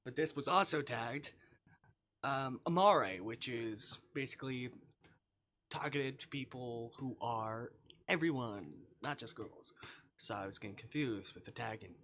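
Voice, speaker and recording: A man's voice: medium pitch, a little slow, fairly bright tone. A man live streaming, reading a visual novel aloud and breaking off for informal, jokey asides. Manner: informal, jokey, swearing, reacting to the story.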